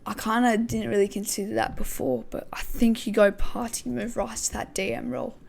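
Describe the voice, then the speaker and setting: A woman hosting a casual football podcast, her voice croaky, thinking aloud with many hesitations. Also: mid-range voice, big pitch swings, fairly quick, a little mellow. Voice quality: gravelly. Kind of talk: casual pre-match football talk.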